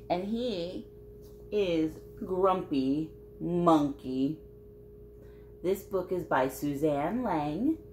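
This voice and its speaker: A woman reading a picture book aloud to children in an expressive way, chatting to young listeners as she goes. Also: fairly low pitch. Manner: expressive, addressing young listeners with simple questions. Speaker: children's storybook reader and host.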